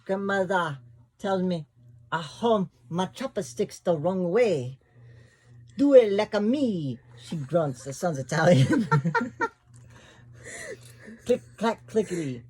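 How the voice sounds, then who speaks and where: A man in his thirties reads a picture book aloud to his small child at home. His voice sits fairly high for a man, breathy and full of swooping pitch. He reads very slowly, into a phone held close in a small bedroom. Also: lively intonation, a little mellow. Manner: put-on accent in quoted lines, slow and laughing. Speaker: father reading a picture book to his toddler. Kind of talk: reading aloud a children's storybook at bedtime. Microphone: phone camera held close.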